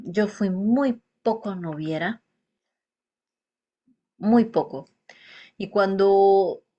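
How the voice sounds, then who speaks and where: Low-voiced woman in reflective confessional monologue. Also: a little mellow. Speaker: speaker telling a personal life story.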